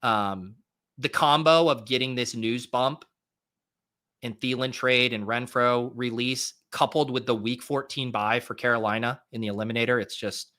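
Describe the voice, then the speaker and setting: A man's voice: mid-range voice, bright, forceful tone. A man with a nasal voice hosting a live stream, chatting casually and thinking aloud while he drafts fantasy football players. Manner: rapid, chatty thinking aloud with many fillers.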